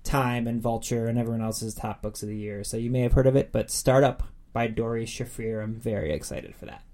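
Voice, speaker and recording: A man's voice: medium pitch, a little fast, dark tone. A man co-hosting a podcast in a casual, enthusiastic chat. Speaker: podcast co-host.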